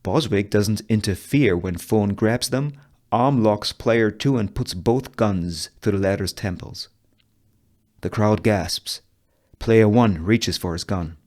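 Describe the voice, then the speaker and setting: A man's voice: fairly low pitch, takes it slowly, a little mellow. A man reading stage directions as scripted narration at a screenplay table read.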